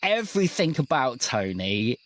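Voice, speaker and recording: A man's voice: medium pitch, big pitch swings, a little slow, low-quality recording. A man narrating an improvised tabletop roleplaying game as game master.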